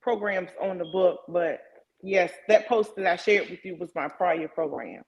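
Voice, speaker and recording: Woman, event promoter, polite, measured, fairly low voice. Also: vocal fry, low-quality recording. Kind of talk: casual radio chat.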